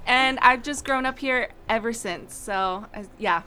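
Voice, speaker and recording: A young woman from Idaho, a radio talk show guest, telling stories in a casual, animated way. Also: fairly high pitch, unhurried. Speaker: guest, social media co-founder.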